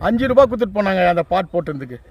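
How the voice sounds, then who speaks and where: A man's voice: sits high, big pitch swings, racing, background noise. An older man reminisces casually in an interview as a veteran sound operator, deferential and repetitive as he lists things from memory. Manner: deferential, often addressing listener as sir.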